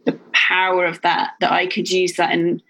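A woman's voice: on the low side, completely flat delivery, moderate pace, fairly bright tone, poor audio. A woman hosting a podcast interview, sharing personal reflections openly. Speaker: podcast host. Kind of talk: conversational interview.

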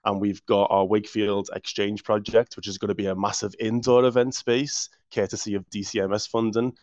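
Enthusiastic Liverpool-accented man, council official; low, level voice, muffled dry audio. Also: flat delivery, brisk, darkish tone, no room sound. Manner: enthusiastic, restarts words often. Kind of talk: interview answers.

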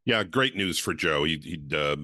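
A man co-hosting a podcast, speaking in a measured, articulate way. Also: very deep voice, lively intonation, pushed and bright, dry recording, studio quality. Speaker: podcast co-host.

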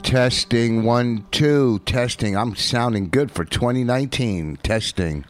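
A man's voice: mid-range voice, unhurried, music in the background. A man co-hosting a podcast, teasing and rambling in casual banter. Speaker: podcast co-host, comedian.

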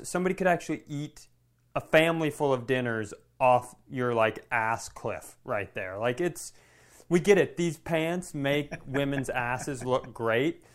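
A man who works as a comedian, co-hosting a podcast with animated, exaggerated, sweary storytelling in casual banter. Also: medium pitch.

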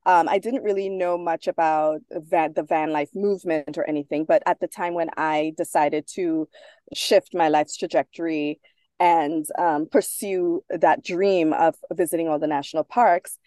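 A woman speaks with a lowish, mellow voice. She talks as an interview guest, thoughtful and unhurried, with fillers and small restarts. The sound is dry and close. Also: fairly even intonation, no room sound. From Trinidad. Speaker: guest author. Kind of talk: casual interview talk.

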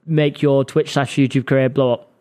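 A man's voice: medium pitch, little pitch movement, normal speed, mellow, clean tone. A man hosting a casual podcast, chatting bluntly and swearing freely. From Manchester, England. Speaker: podcast host. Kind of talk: casual banter interview.

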